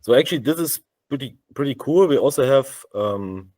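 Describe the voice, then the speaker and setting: A man with a German accent presenting a software demo over a video call, speaking haltingly with many fillers and restarts. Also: medium pitch, expressive, no echo. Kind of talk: live screen-share demo on a video call.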